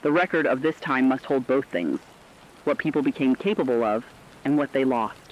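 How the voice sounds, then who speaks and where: A man playing a solemn, compassionate radio broadcaster, delivering a scripted monologue earnestly and deliberately. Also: mid-range voice, lo-fi sound, slight background noise, lightly acted. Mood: solemn, mournful. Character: solemn, compassionate male broadcaster chronicling a collapsing world.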